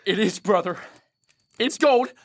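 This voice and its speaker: A man's voice: sits high, lively intonation, a little slow, dry recording, exaggerated voice. A man playing a greedy, domineering bandit with a drawl in an audio drama. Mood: awed. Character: greedy, ruthless, domineering male bandit, older brother type. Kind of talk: audio drama acting, folksy Old West dialect.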